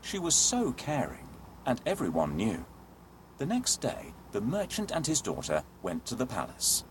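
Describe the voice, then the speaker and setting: A male storyteller reads a children's fairy tale with hugely varied, swooping intonation. His pitch is mid-range and his delivery dramatic and expressive, with faint noise behind him. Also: moderate pace, poor audio. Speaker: storyteller narrator.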